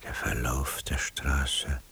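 An actor playing a sleepless, obsessive surveillance man thinking aloud in a radio drama, brooding in a breathy, half-whispered, gravelly voice. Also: a bass voice, level tone, sharp tone, heavily acted. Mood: brooding, tense. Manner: slow, brooding, deliberate. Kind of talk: radio drama interior monologue.

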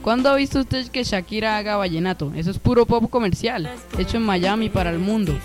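A man playing a high-voiced, opinionated music buff. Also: a little fast, close to an everyday voice. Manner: lecturing and matter-of-fact. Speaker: radio drama character. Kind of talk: amateur radio drama acting.

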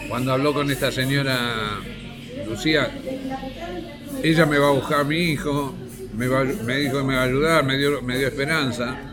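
A man with an Argentine accent giving spontaneous, halting testimony as an interviewee. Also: mid-range voice, steady tone, over music. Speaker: interviewee, relative recounting events.

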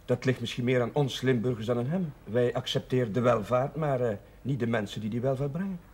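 Male actor as devout young priest in a period TV drama: formal, earnest, slightly husky mid-range voice. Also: mid-range voice, brisk, a little mellow, lightly acted. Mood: calm, reflective. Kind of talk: 1970s Dutch television drama acting.

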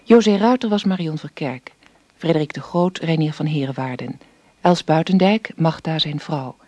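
Higher-pitched male radio announcer, dry sound. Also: moderate pace, mellow, no room sound, lo-fi sound. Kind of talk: scripted radio drama credits.

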